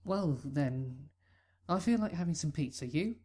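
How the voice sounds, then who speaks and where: A man's voice: a little high, lively intonation, a little slow, a little mellow, husky, pitched above the performer's own voice. Man doing a shy stammering young stallion. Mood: tentative. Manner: hesitant, stammering. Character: shy young male pony, a nervous cellist.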